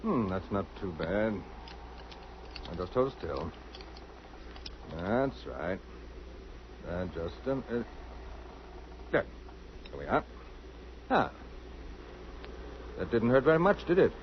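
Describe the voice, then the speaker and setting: An actor playing a gentle, self-effacing island doctor in old-time radio drama style, courteous, soothing and a little hesitant. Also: male voice, lowish voice, very animated, slow pace, long pauses. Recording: muffled, noisy old radio recording.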